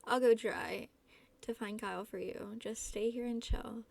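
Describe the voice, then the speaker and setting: A woman's voice: fairly high pitch, steady tone, measured pace, vocal fry, dry recording. A woman voicing a laid-back teenage boy, casual and reassuring, in a story read-aloud.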